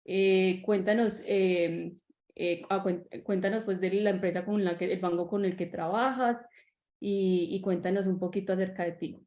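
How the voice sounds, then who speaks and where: Woman hosting a webinar on a video call, halting delivery, roomy echo. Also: medium pitch, steady tone, lo-fi sound. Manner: hesitant, many fillers and restarts. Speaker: real estate agent, webinar host. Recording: echoey room on video call.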